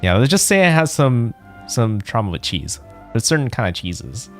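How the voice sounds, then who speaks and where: A man's voice: mid-range voice, very animated, moderate pace, soft background music. A man giving casual, rambling let's-play commentary as a game streamer, musing aloud.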